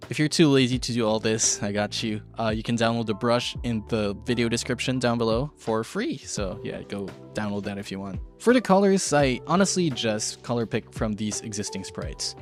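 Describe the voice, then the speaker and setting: Faint music plays under a man presenting an art tutorial. His mid-pitched voice follows a loose script, and he keeps the delivery casual, slipping in playful, tongue-in-cheek remarks. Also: normal speed. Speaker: video show host, art tutorial presenter.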